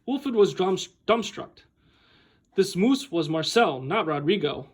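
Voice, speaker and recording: A man reading a picture book aloud expressively, with animated, deliberate phrasing and dramatic pauses, like a school staff member at storytime. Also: sits high, slightly rough.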